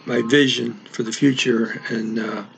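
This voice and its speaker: A man, a language teacher, giving a casual weekly channel update in a halting way, clearing his throat and using many fillers. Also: medium pitch, steady tone, a little hiss or hum, poor audio.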